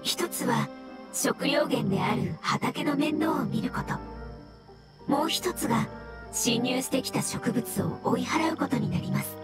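An earnest little mushroom spirit in an anime game. It talks slowly and politely in a high voice, measured and careful, with loud music behind it. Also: female voice, expressive. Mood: polite, explaining. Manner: measured, sometimes halting in single words. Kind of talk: anime game dialogue, Japanese dub.

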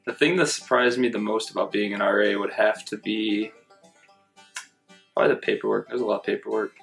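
A young man from the Syracuse area, a college resident assistant, answering interview questions in a relaxed way. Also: fairly low pitch, brisk, creaky, soft background music. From Syracuse area, New York. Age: young adult. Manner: relaxed, a few "uh" fillers. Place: indoors before a plain grey backdrop.

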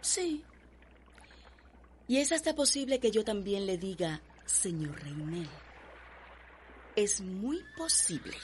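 Proud, cool, restless young heiress for radio drama; medium pitch, measured. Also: female voice, big pitch swings, a little hiss or hum. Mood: cool, challenging. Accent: Cuban.

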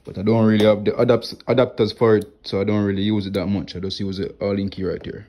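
A man who works as an HVAC technician, showing his tools in a relaxed home vlog and filming his hands with a phone. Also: lowish voice, quick pace, muffled recording. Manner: relaxed, plain talk with many "like" and "right here". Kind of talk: casual show-and-tell vlog. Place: indoors at home, wooden floor. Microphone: phone camera held close, filming his hands.

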